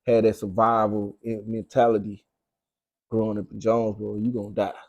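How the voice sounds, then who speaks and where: Young male rapper as interview guest. Southern US accent, mellow tone, dry close sound, slangy and repetitive for emphasis. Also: on the low side, little pitch movement, brisk, dry recording, dull audio. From Arkansas, United States. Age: young adult. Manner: slangy, repeats phrases for emphasis. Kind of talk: casual interview talk.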